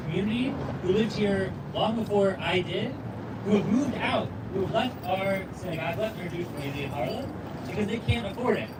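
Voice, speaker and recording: A faith speaker giving an earnest, measured speech at an outdoor rally. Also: mid-range voice. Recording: distant mic with loud street noise.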